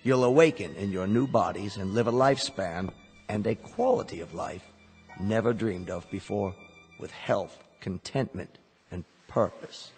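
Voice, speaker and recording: An actor playing a smooth company salesman in a radio drama, with polished, reassuring patter. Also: lowish voice, measured pace, darkish tone. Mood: reassuring, persuasive. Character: smooth persuasive salesman of replacement android bodies. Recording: hall reverb with music behind.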